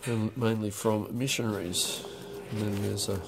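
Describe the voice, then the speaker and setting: A man talking through a stamp auction lot in a dry, unhurried way, with wry asides, recorded over a desk. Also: on the low side, fairly even intonation, vocal fry, music in the background. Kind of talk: off-the-cuff lot walkthrough. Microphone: overhead camera on a desk.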